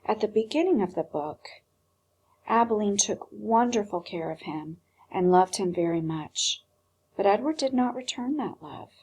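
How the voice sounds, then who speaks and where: A woman reads aloud as a teacher would to pupils, with plenty of drama. Her husky voice sits at an ordinary pitch but moves widely, dropping into hushed phrases and pauses. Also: lively intonation, rough recording. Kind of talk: expressive storybook read-aloud and commentary.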